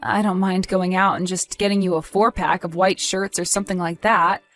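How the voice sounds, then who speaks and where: A woman's voice: lowish voice, steady tone, moderate pace, faint music behind, close to an everyday voice. A woman playing a caring, cautious and practical wife, fussing conversationally in an audio drama.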